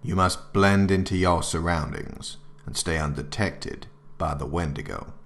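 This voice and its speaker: A stern military man sets out army regulations, voiced by a male actor. His voice is very deep and gravelly. He narrates formally and deliberately, with authority, as in an audio drama. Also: fairly bright tone, exaggerated voice. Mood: stern instruction.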